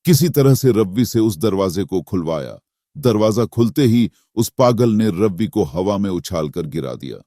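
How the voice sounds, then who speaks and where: A man's voice: on the low side, dark tone, no echo, clean studio sound. A man narrating a cartoon horror story in a dramatic, suspenseful scripted style.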